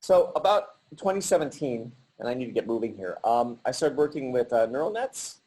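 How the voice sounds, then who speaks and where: A middle-aged man giving a halting virtual artist talk over a video call, with many pauses and restarts. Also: medium pitch, sing-song, a little mellow, breathy edge. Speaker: artist presenting his work.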